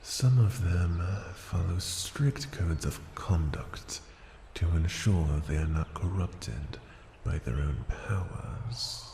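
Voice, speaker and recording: A man playing a proud, ancient dragon in an ASMR fantasy roleplay, speaking formally and deliberately in a gravelly, at times breathy voice. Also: low-pitched, lively intonation, dark tone, exaggerated voice. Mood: amused, composed. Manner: slow, formal, deliberate, imperious. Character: ancient male dragon, proud, unhurried, intrigued by humans. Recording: cavernous long reverb, faint ambient noise.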